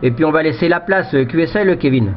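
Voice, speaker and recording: A man's voice: fairly high pitch, lively intonation, fast talker. Man on a dull, hissy shortwave radio. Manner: repetitive, stop-start phrasing. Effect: two-way shortwave radio transmission. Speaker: amateur radio operator. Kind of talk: casual radio contact chat. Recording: muffled radio signal with static.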